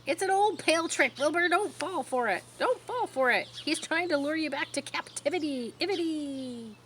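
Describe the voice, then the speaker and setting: A woman's voice: high-pitched, expressive, moderate pace, a bit bright, slight background noise, voice pushed up. A woman voicing a bossy, urgent storybook farmyard goose who repeats her phrases in exclamations. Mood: warning. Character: bossy, frantic farmyard goose urging a piglet on. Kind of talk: animated storybook character reading.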